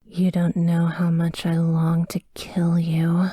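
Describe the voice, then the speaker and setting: A woman playing a tender, tormented vampire lover in a slow, breathy, whispered ASMR roleplay, hushed and intimate. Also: fairly low pitch, monotone, no echo, studio quality. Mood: possessive, tender. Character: tender, tormented female vampire lover watching over her sleeping partner.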